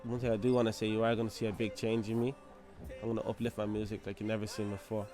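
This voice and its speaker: Fast-talking young man. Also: lowish voice, level tone, over music. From Angola. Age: 20s. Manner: loose and chatty, leaning on "you know what I mean" and "obviously". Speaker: rapper telling his story. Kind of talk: casual documentary talk.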